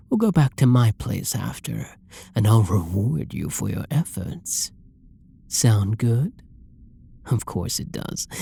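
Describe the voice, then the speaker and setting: Performer playing a husky, breathy, flirty villain. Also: medium pitch, warm tone, heavily acted. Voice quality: breathy, husky, often dropping into whispers. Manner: teasing, drawling, pet-name-laden purr. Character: flirty, dominant, possessive yandere villain teasing a hostage. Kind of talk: intimate roleplay ASMR acting.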